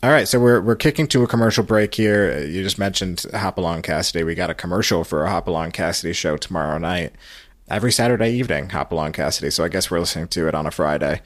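A man's voice: low voice, fast talker, no room sound, clean studio sound. A man hosting a recap podcast in casual, hesitant, rambling chat. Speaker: podcast host.

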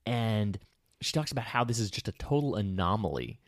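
Man, co-host, very low voice, reflective, thinking aloud, dry close sound. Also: very animated, fairly quick, no room sound. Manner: reflective, restarts sentences while thinking aloud. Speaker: podcast co-host and public speaker. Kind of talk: casual conversational chat.

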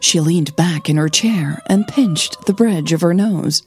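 Slow, mellow woman narrating a story. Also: fairly low pitch, faint music behind. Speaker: narrator of fiction audio drama.

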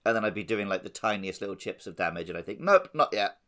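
A man's voice: on the low side, animated, quick pace, pushed and bright. A man recounting his video game experiences casually and with animation as a podcast host.

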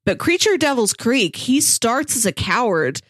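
A woman's voice: a little high, big pitch swings, measured pace, fairly bright tone, no room sound, clean studio sound. A woman co-hosting a podcast, talking in a casual, analytical way. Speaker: podcast co-host.

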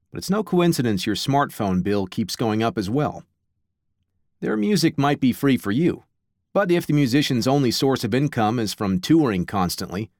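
A man's voice: mid-range voice, no echo, studio quality. A man reading aloud from his own book on a podcast, with wry conversational asides.

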